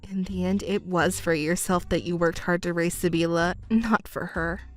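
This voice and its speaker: A woman voicing a composed young stepdaughter who speaks in a calm, cold, measured way. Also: lowish voice, faint music behind, natural voice. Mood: cool. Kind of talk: narrated drama dub.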